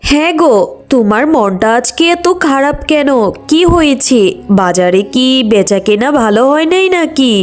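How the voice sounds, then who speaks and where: Wily high-voiced cartoon tiger wife acted by a woman. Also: lively intonation, moderate pace, soft background music. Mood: concerned. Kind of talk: children's cartoon voice acting.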